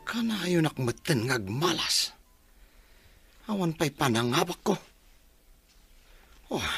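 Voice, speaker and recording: An actor with an Ilocano accent playing an irritable, self-pitying gambler in a radio drama, with a gravelly voice and forceful grumbling. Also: male voice, medium pitch, no room sound, full character voice.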